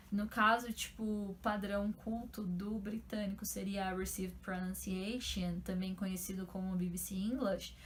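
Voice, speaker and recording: Chatty Brazilian woman, slightly high voice. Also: a little hiss or hum. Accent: Brazilian Portuguese. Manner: chatty, rhetorical questions, frequent "né?" tags. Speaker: channel host. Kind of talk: casual talk to camera.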